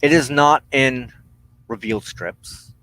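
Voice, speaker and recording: A man speaks as the host of a reflective monologue, unscripted and earnest. His pace is very slow, with halting, emphatic phrases and long pauses. The sound is dry and close, with little trace of the room. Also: mid-range voice, fairly bright tone, no room sound, poor audio. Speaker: host of a weekly spiritual broadcast.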